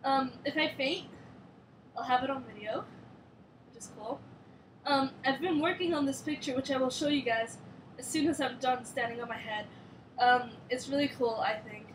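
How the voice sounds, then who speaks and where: A woman's voice: sits high, normal speed, long pauses, noisy background. A young woman from Switzerland chatting casually to camera in a rambling, filler-heavy way, sounding strained while doing a headstand. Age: young adult. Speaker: vlogger. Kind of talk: casual chat.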